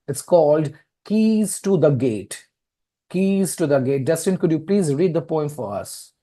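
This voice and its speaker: A man hosting a literary interview in a deliberate way, often repeating his phrases. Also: fairly high pitch, expressive, mellow. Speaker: host, poet and publisher interviewing an author.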